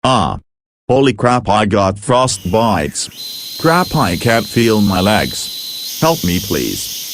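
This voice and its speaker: A man's voice: fairly low pitch, very animated, very slow, background noise, full character voice. A man playing a frazzled emergency broadcast announcer in an amateur doomsday drama, mixing formal bulletins with slangy exclamations. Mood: desperate. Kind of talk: amateur scripted emergency broadcast narration with informal outbursts.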